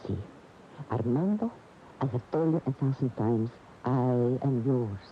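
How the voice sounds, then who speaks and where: A woman acting in a radio drama as a proud, exasperated lover of a famous bullfighter, emotive and reproachful, with deliberate pauses. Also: extremely low pitch, expressive. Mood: proud, defiant. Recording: muffled, hissy radio broadcast tape.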